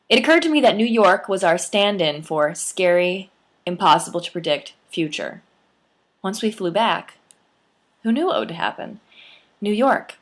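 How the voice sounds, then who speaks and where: A young adult woman, the author, reading her memoir aloud with lively, playful storytelling and expressive emphasis. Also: medium pitch.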